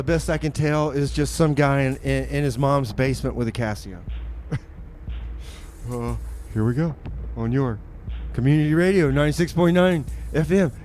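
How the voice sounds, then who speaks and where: A man's voice: mid-range voice, darkish tone, slight background noise. A man hosting a community radio music show with casual, folksy, laid-back patter.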